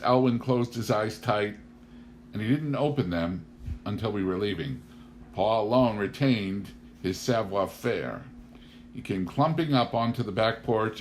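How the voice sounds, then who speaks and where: A man with a fairly low voice reads a humorous memoir aloud. The delivery is scripted audiobook narration, and the recording carries noticeable noise. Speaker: audiobook reader.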